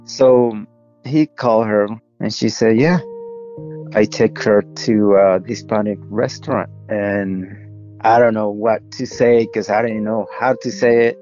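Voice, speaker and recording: A man from Honduras with a Honduran Spanish accent, speaking English as a second language, slowly and haltingly recalling his life in an interview. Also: lowish voice, fairly even intonation, dull audio, faint music behind. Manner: slow, halting, with pauses and soft laughs. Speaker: interviewee, widower. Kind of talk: personal recollection in an interview.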